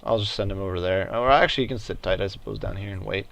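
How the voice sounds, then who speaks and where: A man giving casual commentary over a strategy game, thinking out loud in a hesitant, halting way. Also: on the low side, creaky. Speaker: gaming video host.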